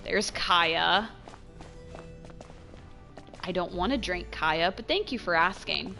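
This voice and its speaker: A woman giving casual, chatty gameplay commentary as a streamer, expressive and trailing off into asides. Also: on the low side, takes it slowly, creaky, soft background music. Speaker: game streamer.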